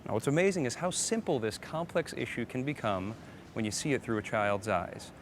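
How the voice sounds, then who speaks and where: A man's voice: mid-range voice, animated, brisk, slight background noise. A man hosting a live broadcast, presenting from a script in an upbeat way and talking straight to the viewers. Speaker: host of a live climate broadcast.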